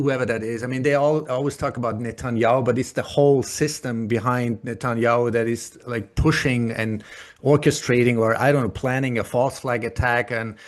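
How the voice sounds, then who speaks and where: A middle-aged man from Iran hosts a podcast interview in a casual way. His voice is medium in pitch, and he rambles hesitantly, leaving thoughts half finished before starting again. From Iran, later Austria and America. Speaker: podcast host.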